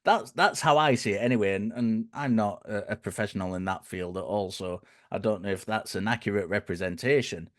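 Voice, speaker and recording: A man with a croaky, dry voice, explaining his reasoning in a thoughtful way and often restarting his phrases. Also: fairly low pitch, fairly quick, few pauses, no room sound. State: hoarse, croaky. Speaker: guest speaker. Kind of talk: conversational explanation.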